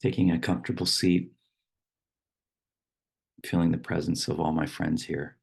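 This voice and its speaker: Very deep, gravelly male meditation guide. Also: flat delivery. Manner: slow, unhurried, pausing between short phrases. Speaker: meditation teacher. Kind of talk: guided meditation, poem reading.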